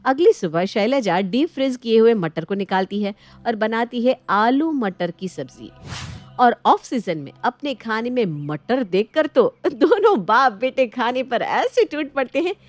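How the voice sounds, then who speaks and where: A woman's voice: on the high side, very animated, unhurried, no room sound, faint music behind. A woman narrator telling a scripted domestic bedtime story.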